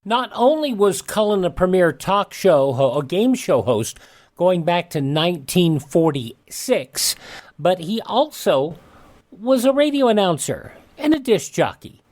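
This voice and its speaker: A man's voice: sits high, very animated, measured pace, a little mellow. American man hosting a radio show. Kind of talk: scripted radio narration with off-the-cuff asides.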